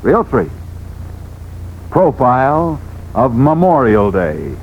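A man's voice: mid-range voice, very animated, takes it slowly. An American man telling sports stories as a 1940s radio host, emphatic and theatrical, building up through repetition. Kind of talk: 1940s dramatic radio narration. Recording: dull, noisy 1940s radio recording.

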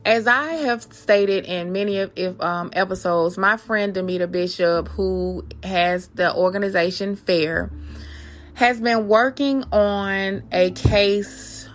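A woman's voice: mid-range voice, slow. Woman, podcast host. Mid-range voice, speaks directly and familiarly to listeners or reads aloud, music underneath. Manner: addresses listeners familiarly as guys. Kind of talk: personal podcast talk and reading aloud.

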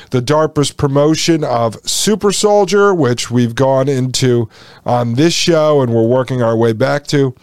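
A man's voice: a little high, a little mellow, clear, resonant tone, dry recording, studio quality. A man hosting a solo podcast, chatty and addressing listeners as folks, while losing his voice. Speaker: podcast host.

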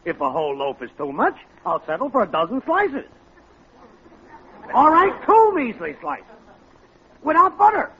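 An actor playing a self-important, scheming American neighbor, mock-grandiose and easily offended, in 1940s radio sitcom style. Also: male voice, very high voice, very animated, normal speed, long pauses, a bit bright, heavily acted. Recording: dull, noisy old radio recording.

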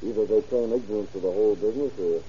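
An actor playing a brisk, dutiful sheriff's deputy in an old-time radio crime drama, reporting facts in a plain, official way. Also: male voice, lowish voice, warm tone, lightly acted. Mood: matter-of-fact. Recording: dull, noisy vintage radio recording.

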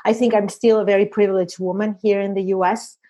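A woman's voice: medium pitch, flat delivery, quick pace, mellow. Venezuelan-accented woman, thoughtful. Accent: Venezuelan Spanish. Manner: thoughtful, many fillers and restarts. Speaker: psychologist guest. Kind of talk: reflective interview answers.

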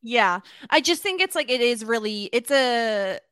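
A woman's voice: on the high side, expressive, measured pace, a bit bright, clean tone, no room sound, clean studio sound. A woman co-hosting a podcast, recapping a TV episode in casual chat and thinking aloud with lots of "like"s and restarts. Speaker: podcast co-host.

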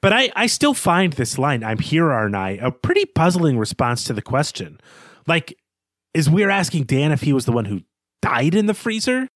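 A man's voice: on the high side, sing-song, normal speed, dry recording. A man co-hosting a podcast in a conversational literary discussion, speaking analytically and speculatively on a close microphone. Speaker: podcast co-host.

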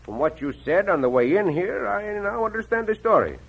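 An actor playing a suave, courteous Mexican cowboy hero with formal English, in an old-time radio western. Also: male voice, high voice, big pitch swings, brisk, creaky, breathy edge, heavily acted. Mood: firm. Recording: dull, lo-fi old radio recording.

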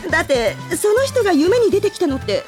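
An animated senior female colleague. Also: very high-pitched, fairly quick. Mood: bashful. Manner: friendly casual senior speech, earnest when explaining. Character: composed senior female colleague, evasive, bashful about love. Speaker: radio drama actress. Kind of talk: Japanese radio drama acting. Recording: studio voice with music behind.

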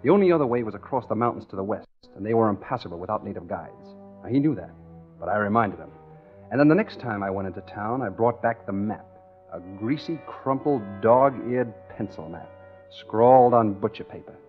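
Dull old radio recording. Male actor for hard-boiled American schemer, lowish voice, confiding first-person storytelling, 1940s crime drama. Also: lively intonation, fairly quick, soft background music, close to an everyday voice. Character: tough scheming American engineer narrating his own swindle.

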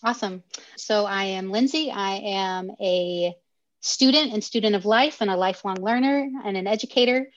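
A woman's voice: medium pitch, moderate pace, no room sound, highs cut off. A woman in her thirties from the Southern United States with a Southern American accent, candidly telling her story as a podcast guest. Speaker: podcast guest, education coach. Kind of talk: casual interview.

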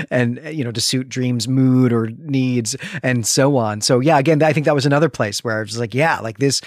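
A man's voice: mid-range voice, no echo, clean studio sound. A man hosting a podcast in a casual, conversational book discussion, steering the talk along. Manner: leads the conversation with questions. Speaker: podcast host.

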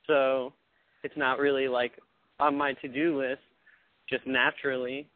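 A man's voice: medium pitch, level tone, a little slow, no room sound. A man in his twenties in casual chat, laid-back, noncommittal and dryly joking. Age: 20s. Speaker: talk show participant. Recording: thin, phone-like narrowband sound.

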